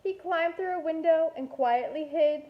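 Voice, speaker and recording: Female kindergarten teacher for picture-book read-aloud: high voice, expressive storytelling, slightly dull home recording. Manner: expressive, deliberate storytelling with playful asides.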